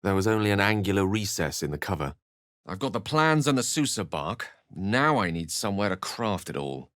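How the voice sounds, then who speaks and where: A thoughtful, well-spoken wizard for a fantasy video game. His voice sits fairly low and is very dry, with no room around it. He speaks in measured, theatrical phrases with a wry, scholarly temper. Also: very animated, a bit bright, no echo. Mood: measured, reflective. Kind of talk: video game voice acting, dialogue and narration.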